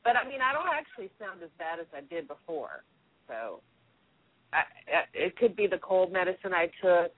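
A woman with a deep voice, sick with a cold, chatting casually and giggling as co-host. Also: sing-song, moderate pace, breathy edge, dry recording. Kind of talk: casual chat. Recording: tinny phone line.

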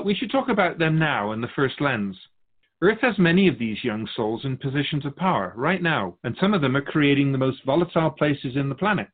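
A man playing a wise, wry otherworldly keeper of souls in a scripted dialogue, measured and teasing, fond of rhetorical questions. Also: mid-range voice, phone-quality sound.

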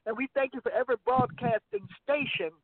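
A woman's voice: high-pitched, a little fast, creaky, dry recording. A woman co-hosting a gospel radio talk show, bantering with sassy teasing and then leading a prayer. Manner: sassy, animated, playful teasing. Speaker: co-host and evangelist. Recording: dry tinny phone-line audio.

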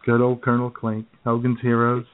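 An American man co-hosting a radio show, telling casual, joking stories in a halting way, often clearing his throat. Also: medium pitch, monotone. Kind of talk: casual comic chat, telling anecdotes. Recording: phone-like, narrow-band sound.

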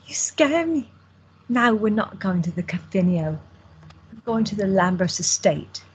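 A woman's voice: medium pitch, expressive, measured pace, slightly rough, poor audio. An actress playing a playful young woman who teases her girlfriend, in an audio drama. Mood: teasing. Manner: playful, teasing. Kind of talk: amateur audio drama acting.

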